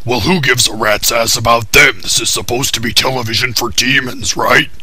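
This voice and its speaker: A man's voice: medium pitch, steady tone, normal speed, sharp tone, husky, no room sound. A man voicing a cynical, foul-mouthed demon in a cartoon parody, blunt, sarcastic and always complaining. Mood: skeptical. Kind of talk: comedic cartoon parody dub.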